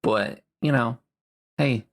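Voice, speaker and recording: A player in a tabletop game, speaking in a slow, laid-back way. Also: mid-range voice.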